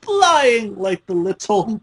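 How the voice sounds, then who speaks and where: A person giving a slow, deliberate dramatic story reading among friends, with a husky voice. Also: mid-range voice, sing-song, fairly bright tone, a little hiss or hum. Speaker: story reader.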